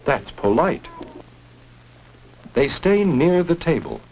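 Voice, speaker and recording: A man's voice: a little high, wildly swooping pitch, slow pace, a little mellow, dull audio. A man narrating a 1950s educational film for children, speaking in simple instructive phrases and leading questions. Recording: noisy, band-limited 1950s film soundtrack.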